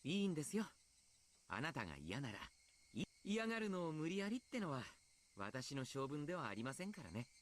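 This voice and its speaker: A man's voice: a little high, sing-song, rapid-fire, slight background noise. A man playing a genial, polite team coach in Japanese anime game style.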